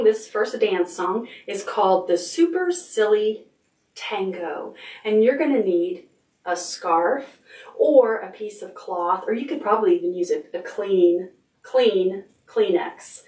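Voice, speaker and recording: Unhurried woman reading a picture book aloud. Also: mid-range voice. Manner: expressive, rhythmic reading with drawn-out words and chanted sound words. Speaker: children's librarian leading storytime. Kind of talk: read-aloud picture book storytime for preschoolers.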